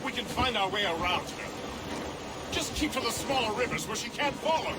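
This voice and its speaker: A man's voice: very high-pitched, lively intonation, brisk. A man playing a jovial, talkative first mate of a sailing warship in a video game, folksy and theatrical. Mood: alarmed. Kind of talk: video game cutscene acting. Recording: loud sea and ship noise.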